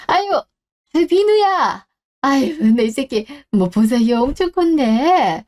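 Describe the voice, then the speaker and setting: A woman's voice: fairly high pitch, lively intonation, moderate pace, warm tone, natural voice. Woman acting a sighing, doting elderly mother. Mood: fond, doting. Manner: sighing, rambling, fussing. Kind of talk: Korean radio-drama story acting.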